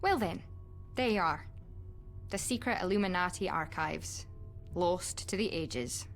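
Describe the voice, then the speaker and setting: A woman's voice: on the low side, lively intonation, unhurried, soft background music. An actress playing a wry, skeptical female investigator in a video game cutscene, with a dry delivery. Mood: dry, incredulous.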